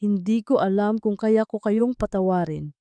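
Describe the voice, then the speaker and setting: Woman voicing a soft, mellow wounded heroine. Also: mid-range voice, moderate pace, clear, resonant tone, no room sound, natural voice. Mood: sad, gentle. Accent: Filipino. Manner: soft, weak-voiced. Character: calm, wounded young heiress, gentle and forgiving.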